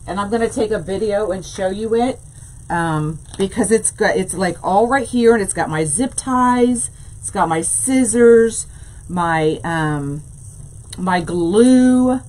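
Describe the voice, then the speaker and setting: A woman's voice: mid-range voice, a little mellow. A woman, chatting through a live wreath-making demonstration and answering viewers as she works. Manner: chatty, says "y'all", answers live chat. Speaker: wreath-making tutorial host. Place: craft room full of ribbon shelves.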